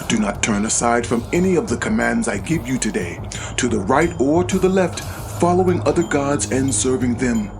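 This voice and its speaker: A man's voice: mid-range voice, expressive, moderate pace, vocal fry, noisy background. A man narrating scripture as a dramatized audio Bible reading, solemn and declamatory in delivery. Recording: music behind the voice. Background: background music.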